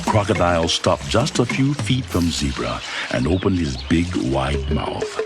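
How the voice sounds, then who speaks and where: A man with a low voice narrates a children's storybook. His delivery is dramatic and moves widely up and down. Music plays clearly behind him. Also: expressive, unhurried. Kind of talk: scripted children's read-along narration.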